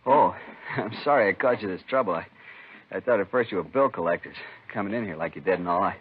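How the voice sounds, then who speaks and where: Jumpy male prop man, 1950s radio drama: lowish, fast, over-eager to please, tinny sound. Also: husky. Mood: eager, apologetic. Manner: ingratiating, over-eager, apologetic. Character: jumpy, over-polite male movie-studio prop man under suspicion. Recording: tinny, band-limited old radio recording, faint noise.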